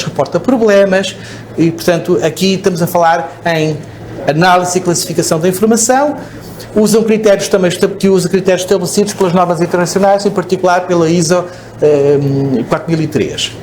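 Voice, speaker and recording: A man's voice: high voice, fairly even intonation, brisk, a little mellow, a little hiss or hum. A man with a European Portuguese accent giving a conference presentation, explaining step by step and checking in with the audience. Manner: explanatory, frequent "ok?" checks and restarts. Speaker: speaker at a conference. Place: conference hall.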